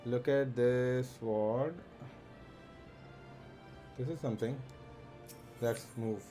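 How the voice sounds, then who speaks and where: A man's voice: mid-range voice, fairly even intonation, very slow, dark tone. A man streaming a game, thinking aloud in a hesitant, muttering way as he plays. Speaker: live streamer. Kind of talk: casual gameplay commentary. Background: faint game music.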